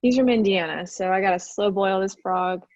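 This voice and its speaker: A woman's voice: mid-range voice, normal speed, a little mellow, no room sound, lightly acted. An actress playing a flighty, chatty young woman in a screenplay table read, with casual conversational delivery. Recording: dull, dry remote call audio.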